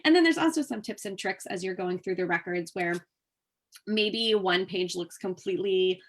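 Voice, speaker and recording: A woman's voice: mid-range voice, moderate pace. A woman presenting at a workshop, explaining things informally and conversationally as she takes questions from participants. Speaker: workshop presenter for a museum transcription program.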